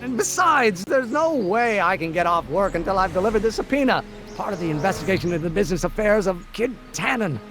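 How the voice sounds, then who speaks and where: An actor playing an excitable, earnest young American inventor in a video game, with a theatrical, formal and eager delivery. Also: male voice, sits high, quick pace, music in the background. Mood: flustered.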